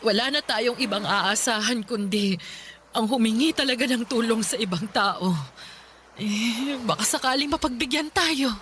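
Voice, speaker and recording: A woman's voice: a little high, normal speed, a bit bright, slight background noise, natural voice. A woman playing a worried middle-aged mother in a Filipino radio drama, plaintive and full of sighing interjections. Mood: distressed, tearful. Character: worried, tearful mother of a struggling poor family.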